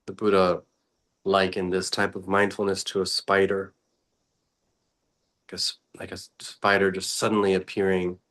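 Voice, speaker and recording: A man speaking as a meditation teacher, guiding reflectively and unscripted in short, contemplative phrases with long pauses. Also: low-pitched, a bit bright.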